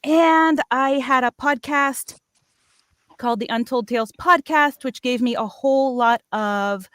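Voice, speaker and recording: A middle-aged American woman with a fairly high voice, recorded very dry. She tells personal stories casually and playfully, breaking into her own sentences as she goes. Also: a little slow, fairly bright tone, clear, resonant tone, dry recording. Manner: chatty, playful, self-interrupting. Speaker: voice actor on a cast panel.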